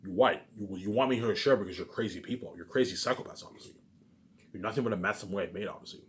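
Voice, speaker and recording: Fast-talking man in a repetitive rant. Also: fairly low pitch, a little hiss or hum. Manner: repetitive, with frequent verbal tags; profane, ranting. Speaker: vlogger. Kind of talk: rambling unscripted monologue to viewers.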